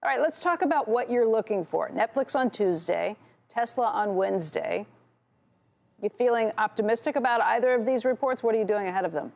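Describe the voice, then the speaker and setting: Creaky-voiced woman anchoring financial news. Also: on the high side, a little fast, a little mellow. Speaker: TV news anchor interviewing a guest. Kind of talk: broadcast financial news interview.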